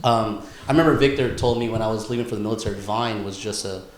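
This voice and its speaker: A man's voice: lowish voice, fast. Young man bantering in an echoey room. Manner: slangy, bro-heavy talk. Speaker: podcast co-host. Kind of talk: casual, crude banter among friends. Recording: roomy, echoing indoor sound.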